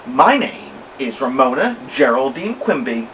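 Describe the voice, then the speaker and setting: A man's voice: medium pitch, sing-song, a little slow, a bit bright, distant mic, muffled recording, a little hiss or hum. A man voicing a proud, attention-loving little preschool girl in a storybook reading. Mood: matter-of-fact.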